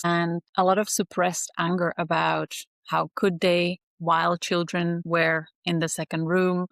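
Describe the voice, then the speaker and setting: A woman, speaking as a guest in an earnest, measured way. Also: lowish voice, fairly bright tone, no room sound. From Slovakia. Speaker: astrology teacher, guest. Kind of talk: reflective interview talk.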